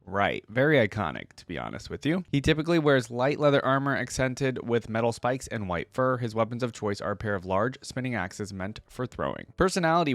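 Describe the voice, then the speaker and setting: A man's voice: mid-range voice, dry recording. A man hosting a reaction video, talking casually and sometimes reading reference text aloud.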